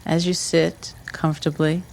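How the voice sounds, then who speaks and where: Slow-paced guided meditation teacher over trickling water. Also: medium pitch, level tone, gravelly, darkish tone, a little hiss or hum. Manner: measured, instructional, with short pausing phrases. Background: trickling water ambience.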